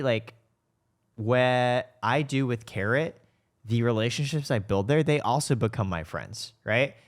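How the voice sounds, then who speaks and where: A man hosting a podcast in a casual, conversational interview style, speaking informally and with enthusiasm. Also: medium pitch. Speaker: podcast host and startup co-founder.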